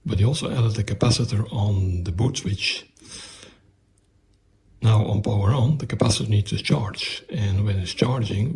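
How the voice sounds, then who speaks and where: A man presenting a technical explanation of an electronics project in a halting, methodical, step-by-step way. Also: fairly low pitch, a little mellow, a little hiss or hum.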